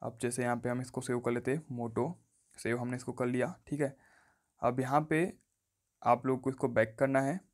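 A man's voice: mid-range voice, level tone, moderate pace. A man presenting a casual tech tutorial in an instructional way, often repeating stock phrases. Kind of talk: casual step-by-step phone tutorial. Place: voice-over on a phone screen recording.

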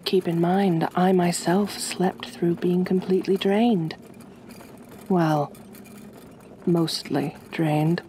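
A woman voicing a gentle, possessive aristocratic vampire mistress in an ASMR roleplay, soft-spoken, formal and soothing. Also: on the low side, fairly even intonation, takes it slowly, pauses often, slight background noise. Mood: persuasive, calm.

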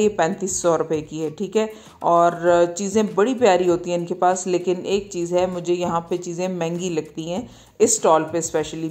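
Lower-pitched Pakistani woman, casual shopping guide. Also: dark tone. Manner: conversational, repeatedly checks in with "okay". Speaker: market vlogger.

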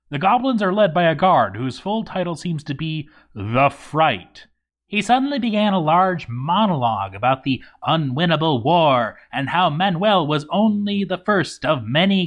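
A male narrator with a medium-pitched voice reads a game story aloud. His delivery is theatrical, leaning on emphatic pauses and dramatic swings as he tells the tale.

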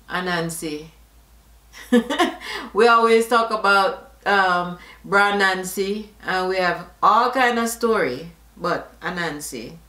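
A middle-aged woman from Jamaica with a Jamaican accent, reading a word list and questions aloud in a casual vlog, slow and deliberate, slipping into patois asides. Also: mid-range voice, pushed and bright, roomy sound. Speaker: vlogger. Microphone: camera facing her at close range.

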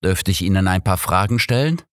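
A man voicing an old gentleman detective, formal, brisk and commanding, in an audiobook. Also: low voice, lively intonation, no room sound, studio quality. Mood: formal, inquiring. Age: older.

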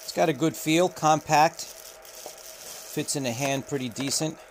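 A man walking through a radio unboxing, talking unhurriedly with frequent filler pauses, recorded indoors at a table on the camera's mic. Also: on the high side, slight background noise. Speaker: radio reviewer. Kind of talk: unboxing walkthrough.

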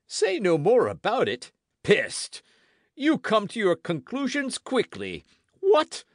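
A man voicing a boastful, loud musketeer in an audiobook, theatrical and full of exclamations. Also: high-pitched, wildly swooping pitch, slow pace, no echo, studio quality, voice pushed up, full character voice. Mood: indignant, scolding. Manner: theatrical, exclamatory, swooping emphasis. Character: boisterous, boastful swaggering musketeer, loud and self-satisfied.

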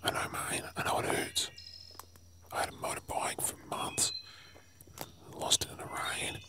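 An Australian man playing a weary, protective survivor of a zombie apocalypse in a whispered ASMR role play, hushed, urgent and coaxing. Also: unhurried, close to an everyday voice. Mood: gentle urging.

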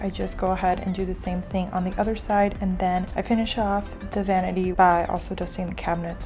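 A young woman narrating her own home vlog in a relaxed, chatty voice-over, talking straight to viewers. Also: mid-range voice. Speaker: home vlogger. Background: faint music.

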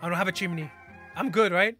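A young American man with a bright, forward voice reacts casually, full of slang and exclamations. He records at an indoor gaming setup, with music playing behind him. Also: high-pitched, fast. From California, United States. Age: 20s. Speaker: reaction video host.

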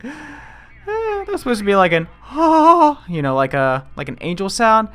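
Excitable man commentating, wildly swinging pitch. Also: moderate pace. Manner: excitable, self-deprecating. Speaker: video game let's player. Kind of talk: casual gameplay commentary.

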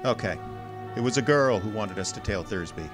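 An actor playing a cool, wisecracking private detective in a radio drama, with a wry, sardonic way of talking. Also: male voice, fairly low pitch, animated, fairly quick, over music, close to an everyday voice. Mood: cool, businesslike. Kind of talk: hard-boiled detective radio drama acting.

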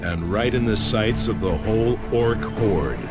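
An actor playing an alert, dutiful space marine squad soldier with a crisp military reporting style. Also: male voice, fairly low pitch, measured pace, close to an everyday voice. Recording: loud music behind the voice.

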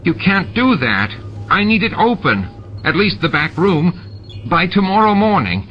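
A man voicing a nervous, greedy male alien barkeeper who whines and pleads in a high voice. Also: sing-song, a little slow. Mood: panicky whining. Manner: whiny, panicky, high-pitched pleading. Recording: dull lo-fi audiobook with music.

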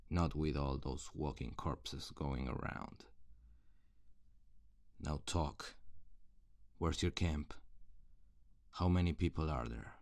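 Very deep, gravelly survivor, acted by a man. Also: darkish tone, no room sound, clean studio sound, heavily acted. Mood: menacing. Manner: menacing, slow, interrogating. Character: wary, threatening hardened male zombie-apocalypse survivor. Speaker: audio roleplay voice actor. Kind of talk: ASMR roleplay acting. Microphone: close, dry studio-style microphone.

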